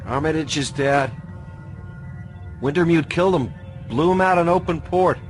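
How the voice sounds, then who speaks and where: An actor playing a cynical, desperate young hacker in a radio drama, informal, streetwise and emotionally raw. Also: male voice, on the high side, normal speed, faint music behind, close to an everyday voice. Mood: bitter.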